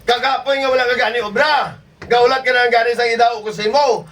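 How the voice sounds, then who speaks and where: A man's voice: very high-pitched, fairly even intonation, fast talker, a bit bright. A man with an Ilonggo accent playing a grumbling, defensive, tight-fisted working husband in a comedy skit, exclaiming and complaining. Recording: distant mic.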